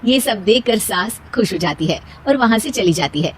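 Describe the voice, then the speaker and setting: A woman's voice: medium pitch, lively intonation, normal speed, a little hiss or hum. A woman narrating a scripted moral story as storyteller.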